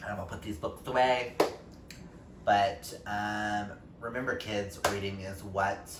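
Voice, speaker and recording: A man's voice: on the low side, fairly even intonation, a little slow. A man talking casually and expressively to viewers in a book vlog, informal and given to emphatic exclamations. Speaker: book YouTuber. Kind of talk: casual chatty vlog talk to camera. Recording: roomy echo, distant mic, faint noise.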